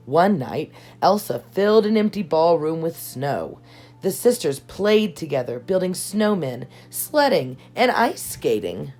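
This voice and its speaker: A woman reading a children's storybook aloud in an expressive storytelling style, with measured, dramatic delivery. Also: deep voice. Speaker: storybook reader. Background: background music.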